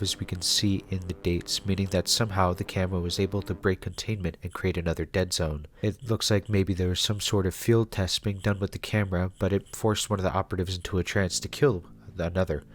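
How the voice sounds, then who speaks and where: A man narrating a scripted video essay in a measured, conversational, explanatory way, as the host of a review series. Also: sits low, a little hiss or hum. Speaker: host of a web series reviewing analog horror.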